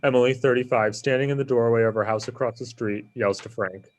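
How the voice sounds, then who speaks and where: A man's voice: mid-range voice, steady tone, fast. A man narrating screenplay stage directions in a plain, scripted reading style.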